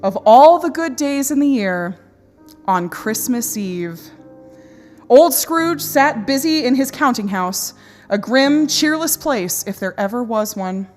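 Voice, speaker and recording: A woman's voice: fairly high pitch, animated, slow, a little mellow. A woman acting as the emphatic, wry storybook narrator of a Victorian radio play, with theatrical delivery. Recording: long hall reverb with music behind. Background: music.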